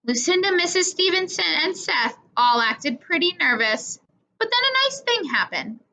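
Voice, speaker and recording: A woman reading a children's story aloud in an expressive, deliberate manner, acting out the dialogue. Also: very high voice. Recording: dull lo-fi recording.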